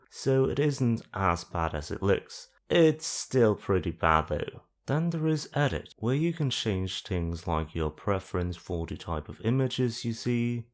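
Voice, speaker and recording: A man narrating an explanatory tutorial in a plain-spoken, dry, self-deprecating way. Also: on the low side. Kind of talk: explanatory screen-guide narration with casual self-deprecating asides.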